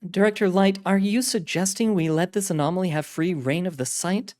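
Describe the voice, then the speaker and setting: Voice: medium pitch, a little fast, a little mellow, voice pushed up, lightly acted. A narrator voicing a wary, businesslike woman who works as a containment agent in an audio story, direct and skeptical. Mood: exasperated. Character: wary, businesslike female containment agent, exasperated but dutiful. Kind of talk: audio story character dialogue.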